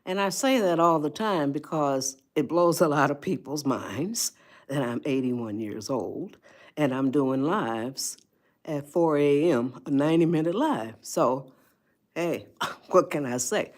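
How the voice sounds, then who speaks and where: A woman in her eighties hosting a casual livestream, chatting slowly and greeting viewers by name. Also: low-pitched. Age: 80s. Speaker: livestream host. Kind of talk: casual livestream advice talk.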